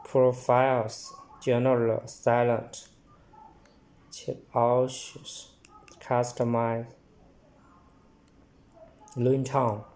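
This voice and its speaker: A man giving a hands-on product demonstration in halting, short phrases as he reads items out. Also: mid-range voice, flat delivery, darkish tone, rough recording.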